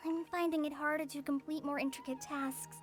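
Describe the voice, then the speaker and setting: Woman as a soft, hesitant young ranger girl. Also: high-pitched, steady tone, a little fast, soft background music, lightly acted. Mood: downcast, hesitant. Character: gentle, earnest, ailing young female forest ranger trainee. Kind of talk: English video game dub.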